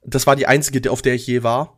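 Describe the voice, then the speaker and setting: A man's voice: medium pitch, level tone, fast. Man with a cold, chatting casually. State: mild cold from Covid. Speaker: podcast host. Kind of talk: casual podcast chat. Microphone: close USB or headset microphone.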